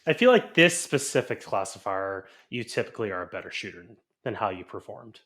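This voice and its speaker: Easygoing low-voiced man from Hawaii. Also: sing-song, fairly quick, a bit bright. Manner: easygoing, laughs often. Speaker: podcast co-host. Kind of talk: casual chat.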